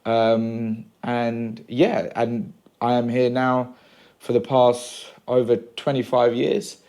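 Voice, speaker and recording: Relaxed man in his forties telling his story. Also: mid-range voice, takes it slowly. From Sri Lanka. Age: around 40. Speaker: pastor, podcast guest. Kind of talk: casual conversation. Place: a home room.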